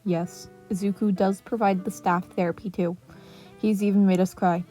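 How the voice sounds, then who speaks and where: Woman reading dry, mellow male teacher. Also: medium pitch, fairly even intonation, normal speed, lightly acted. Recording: music playing under the voice.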